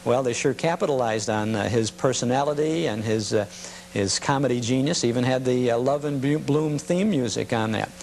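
A man's voice: mid-range voice, normal speed, a little mellow, a little hiss or hum. A man hosting a nostalgia program with casual, somewhat hesitant commentary.

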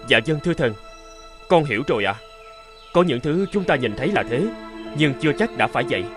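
A man's voice: fairly high pitch, sing-song, fairly quick. A man voicing a humble, earnest young pilgrim in a children's cartoon, speaking politely and deferentially. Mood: respectful. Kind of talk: dubbed children's cartoon acting. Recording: prominent music under the voice.

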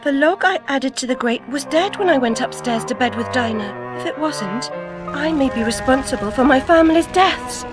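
Troubled young english girl in a game, earnest but sharp, highish expressive voice, music behind. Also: normal speed. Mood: haunted. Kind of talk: video game character acting.

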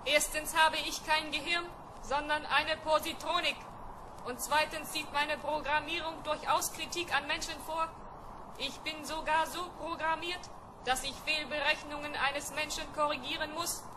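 A woman's voice: high-pitched, steady tone, normal speed, background noise, close to an everyday voice. A woman playing a cocky, argumentative spaceship robot in an old German-style children's radio play, precise and pedantic. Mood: pedantic, cool. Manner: precise, matter-of-fact, pedantic.

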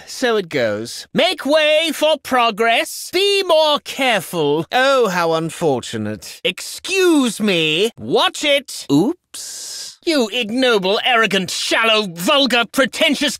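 Snobby medieval nobleman, pompous, contemptuous, sharp bright tone, dry close sound, video game barks. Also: very high voice, dry recording, heavily acted. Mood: condescending, then contemptuous, insulting. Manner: pompous, sneering, ornate archaic diction. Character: haughty, contemptuous medieval nobleman lording over peasants. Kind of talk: video game voice lines, mock-archaic battle barks.

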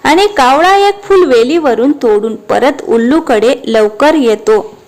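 Female narrator for children's animated story, high-pitched, scripted delivery, soft music bed. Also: unhurried.

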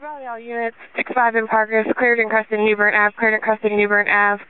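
A woman's voice: on the high side, flat delivery, brisk. A woman working as a police dispatcher, relaying calls over the radio in a procedural way. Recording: narrow, phone-like police radio transmission.

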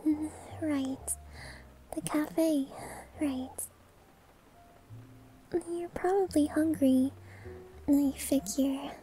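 A woman playing a shy, sweet young maid new to the job, hesitant and gentle, in an anime-style ASMR roleplay. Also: fairly even intonation, extremely slow, pauses often, a little hiss or hum, rough recording. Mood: nervous, tender. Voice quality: soft, high, girlish. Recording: music behind the voice.

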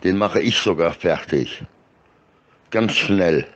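A middle-aged man rambling casually in halting, fragmented sentences, repeating words, recorded on a phone held close to his face. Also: low voice.

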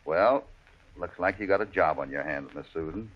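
A steady cowboy hero speaks in a low American voice, measured and sure of himself. It is Western radio drama on a muffled vintage recording. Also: male voice. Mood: quiet, gentle. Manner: measured, assured, plain-spoken. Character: calm, steady, heroic cowboy lawman type. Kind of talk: old-time Western radio drama acting.

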